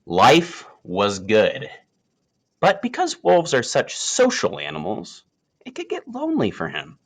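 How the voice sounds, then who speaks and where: Very animated man reading a storybook aloud. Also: medium pitch. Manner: expressive, emphatic phrasing with dramatic pauses. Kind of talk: scripted children's nature story read aloud.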